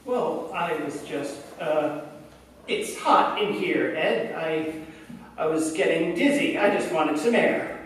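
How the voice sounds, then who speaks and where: An anxious small-town doctor of a 1940s radio play, played by a man, falters uneasily in a high voice. He is far from the microphone in a very echoey space, with faint noise. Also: animated, takes it slowly, a bit bright. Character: nervous, hesitant decent small-town doctor, male. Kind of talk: staged 1940s radio drama acting.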